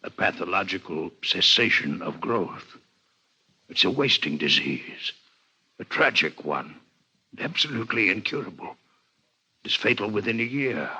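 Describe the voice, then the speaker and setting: Formal alien doctor, swooping bright voice. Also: male voice, low-pitched, big pitch swings, measured pace, long pauses, slightly rough, heavily acted. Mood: grave, clinical. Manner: formal, precise, courteous speech. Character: courteous six-legged alien doctor visiting Earth, male. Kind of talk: 1950s radio drama acting. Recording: dull vintage 1950s radio recording.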